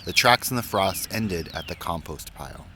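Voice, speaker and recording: A man with a low voice reads a picture book for children. His delivery is measured and expressive, with pauses between phrases. Some background noise sits behind the reading. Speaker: story reader.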